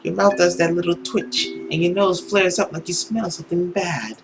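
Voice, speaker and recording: A man voicing a spurned, sharp-tongued woman who is still in love, soft and pointed, in a visual novel. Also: sits high, fairly even intonation, moderate pace, gravelly, over music, voice pushed up, poor audio. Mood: defiant, accusing. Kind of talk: dramatic visual novel reading.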